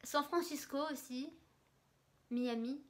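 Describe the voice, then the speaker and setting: A woman's voice: high voice, slow pace. A young woman co-hosting a casual question-and-answer chat with a friend, talking freely and restarting her sentences. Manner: chatty, restarts sentences, laughs along. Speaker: co-host of a lifestyle blog channel.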